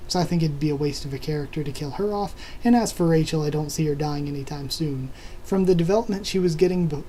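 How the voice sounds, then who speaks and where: A man's voice: on the high side, dark tone, a little hiss or hum, poor audio. A man hosting a casual video where he answers viewer comments, rambling with many filler words while he is losing his voice. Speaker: YouTube host answering comments.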